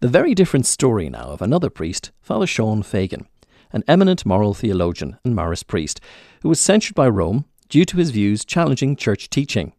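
A man's voice: on the low side, very animated, brisk, darkish tone. A man with an Irish accent presenting on radio, moving between scripted links and interview questions.

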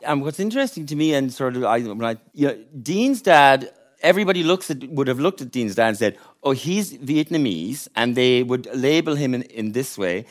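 A man's voice: medium pitch, big pitch swings, a little fast. A man hosting a panel discussion, asking probing questions. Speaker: host.

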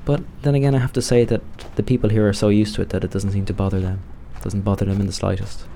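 Deep, gravelly Dublin man narrating. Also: little pitch movement, quick pace, dark tone, a little hiss or hum. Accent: Dublin Irish. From Dublin, Ireland. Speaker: narrator of a personal radio documentary. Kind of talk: personal documentary narration.

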